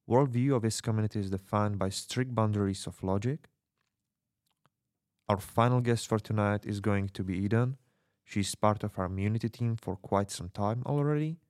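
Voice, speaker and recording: Man acting as low-voiced, earnest rebel radio host. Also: darkish tone, no echo, studio quality, natural voice. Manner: solemn, rallying, addresses listener intimately. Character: Nemo, earnest male rebel radio host in dystopian Paris. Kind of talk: dramatic scripted radio monologue and hosting.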